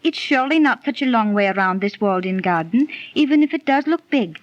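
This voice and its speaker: An actress playing a gentle, pious and sensible young village girl in a 1930s radio drama. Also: a little high, animated, a little fast, no echo, natural voice. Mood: dismissive, confident. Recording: dull, dry 1930s radio recording.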